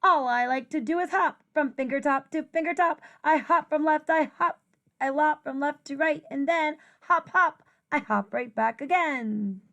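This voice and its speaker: A woman's voice: high-pitched, normal speed, a bit bright. A woman voicing a small, cheerful hopping creature from a children's storybook with bouncy, emphatic rhythm.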